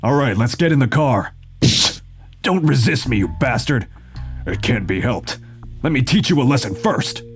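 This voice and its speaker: An aggressive, bullying gang thug played by a man in anime dub style. He is bossy and menacing, his pitch leaping around, with faint music underneath. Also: expressive, normal speed, breathy edge. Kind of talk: anime-style manga dub villain acting.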